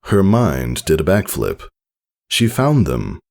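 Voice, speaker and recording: A man narrates fiction in a low, gravelly voice. His storytelling is expressive and scripted, with intonation that moves around a great deal. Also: takes it slowly. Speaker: narrator reading fan fiction. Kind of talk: scripted audiobook-style narration.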